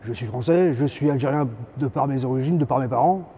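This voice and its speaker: A man's voice: mid-range voice, a little fast, dark tone, a little hiss or hum. A French man stating his position firmly and emphatically in an interview. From France. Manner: firm, emphatic.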